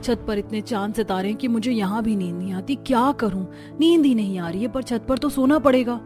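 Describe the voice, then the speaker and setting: A woman's voice: fairly high pitch, brisk, music in the background, lightly acted. A woman voicing a meek, sleepless young village daughter-in-law in a cartoon story, sounding plaintive. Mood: weary, fretful. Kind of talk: cartoon story character acting.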